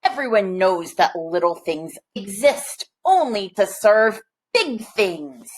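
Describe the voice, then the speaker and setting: A woman's voice: mid-range voice, expressive, takes it slowly. Woman doing a pompous, blustering greedy king. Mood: snappish, outraged. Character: greedy, pompous, hot-tempered big conquering king.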